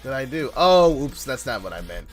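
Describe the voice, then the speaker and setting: A man's voice: mid-range voice, very animated, moderate pace. A man chatting casually and jokingly as he hosts a game developer's live stream, playfully rambling. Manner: joking, playful, acts out little imagined exchanges. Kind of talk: casual rambling livestream chat. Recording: music under the voice. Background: background music.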